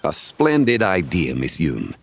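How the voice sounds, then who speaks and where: An actor playing a composed, courteous gentleman scholar in a video game, speaking formally and with measured poise. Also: a bass voice, wildly swooping pitch, creaky, heavily acted. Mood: gracious, persuasive. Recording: faint game music behind.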